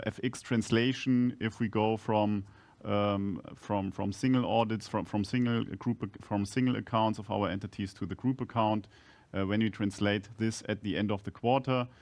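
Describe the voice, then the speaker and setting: Niski męski głos, mówi z wahaniem. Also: male voice, lowish voice, darkish tone. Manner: halting, with broken-off phrases. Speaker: company executive answering analysts. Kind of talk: explanatory earnings-call answers.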